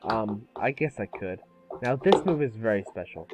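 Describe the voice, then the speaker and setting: A man reading character lines in a casual fan table read, playful and quick to laugh. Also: lowish voice, lively intonation. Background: background music.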